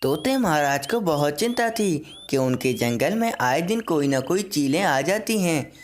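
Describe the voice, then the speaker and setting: A woman with a fairly low voice narrates a children's tale. The delivery is scripted storytelling, told in the third person as a narrator. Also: lively intonation, unhurried, slight background noise.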